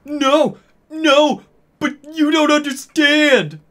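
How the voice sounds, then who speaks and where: A man's voice: mid-range voice, sing-song, slow pace, darkish tone, voice pushed up. A man voicing a shy, plaintive teenage goth boy with a timid, whimpering delivery in a story reading. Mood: pleading.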